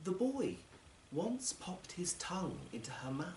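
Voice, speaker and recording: A man reading a short story aloud at a live event, with measured, deliberate, dramatic phrasing. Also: high-pitched, big pitch swings, darkish tone. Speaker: actor and audiobook reader. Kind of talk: literary story reading, scripted narration. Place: performance space in a library, live event. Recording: roomy live recording with faint noise.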